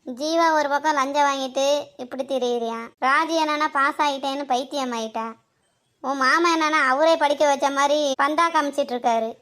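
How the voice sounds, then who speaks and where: A high-pitched, middle-aged Tamil mother-in-law from a cartoon soap parody, acted by a performer. She nags and complains in an exasperated, sarcastic, colloquial way. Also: fairly quick, sharp tone.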